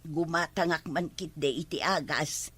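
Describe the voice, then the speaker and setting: A woman's voice: low voice, steady tone, measured pace, a bit bright, breathy edge, no echo, full character voice. A woman with an Ilocano accent acting an older, nagging, long-suffering village wife in a comedy drama, given to scolding and exclaiming. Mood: weary, unwell.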